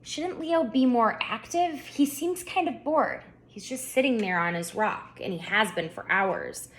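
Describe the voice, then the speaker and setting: A woman voicing a spunky, excitable seven-year-old girl in a children's storybook, with an eager way of talking. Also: on the high side, unhurried, a little hiss or hum. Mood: worried. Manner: eager, exclaiming, pondering aloud. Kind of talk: children's storybook read-aloud.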